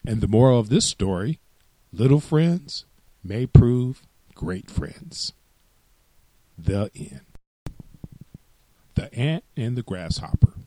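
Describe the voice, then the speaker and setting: Man, storytelling host, children's narration, expressive, highly varied pitch, dry studio-like sound. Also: slow pace, long pauses, no room sound.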